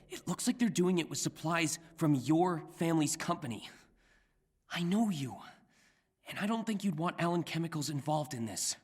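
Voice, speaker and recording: A man's voice: on the high side, fairly bright tone. An American man voicing an earnest, awkward young superhero in a video game, speaking in a hesitant, rambling way. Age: young adult. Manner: hesitant, self-conscious, rambling.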